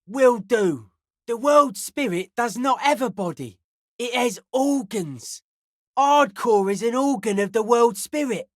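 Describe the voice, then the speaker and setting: A man's voice: extremely high pitch, animated, measured pace, no room sound, exaggerated voice. An intense young male raver and carpenter for a video game. He talks in blunt, clipped pronouncements, half street slang and half philosophy. The recording is close and dry. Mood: dogmatic, dismissive. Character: Noid, intense young male anodic-dance-music zealot and carpenter. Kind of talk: video game character voice acting.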